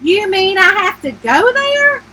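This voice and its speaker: A woman's voice: very high-pitched, very animated, a little slow, pushed and bright, voice pushed up, exaggerated voice. A woman voicing a jilted wife in a story reading, incredulous and sarcastic, with emphatic questioning delivery. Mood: disbelieving.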